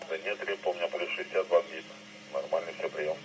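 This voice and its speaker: A man's voice: low voice, steady tone, fast. A man chatting casually as an amateur radio operator, heard over a two-way radio transmission. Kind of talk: casual ham radio chat. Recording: noisy, humming FM radio transmission.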